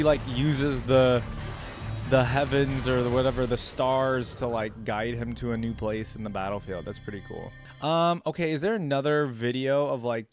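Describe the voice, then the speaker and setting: A man with a mid-range voice chats casually as a reaction video host. He thinks out loud in an informal way, with faint music behind him. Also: medium pitch. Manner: informal, thinking aloud, often repeating himself.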